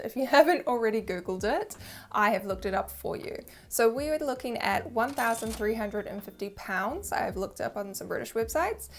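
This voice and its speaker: A young woman with a New Zealand accent, chatting candidly to camera as she reviews a handbag, self-deprecating and open. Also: mid-range voice.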